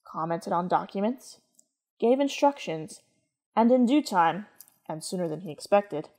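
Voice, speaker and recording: A woman narrating a scripted story in a measured, literary manner. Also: medium pitch.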